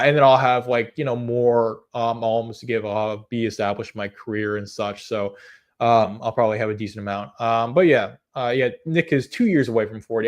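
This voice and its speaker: A young man hosting a casual live stream, talking at a middling pitch for a man. He rambles, stops and restarts often, and fills gaps with ums as he answers chat. His tone stays earnest, with a soft amusement at the jokes. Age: 20s. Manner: rambling, hesitant, many fillers and restarts. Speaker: livestream host.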